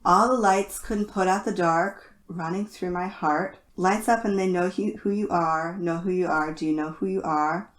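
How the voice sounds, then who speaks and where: A woman's voice: lowish voice, fairly even intonation, normal speed, few pauses. A woman co-hosting a podcast in a casual, playful, self-deprecating way, recorded with a roomy echo. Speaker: podcast co-host. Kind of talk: casual chat and reading lyrics aloud. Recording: roomy, echoey sound.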